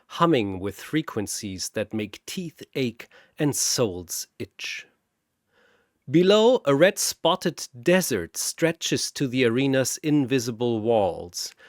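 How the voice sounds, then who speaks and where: A man giving scripted story narration in a dramatic manner with wry, deadpan asides. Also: medium pitch, dry recording, clean studio sound.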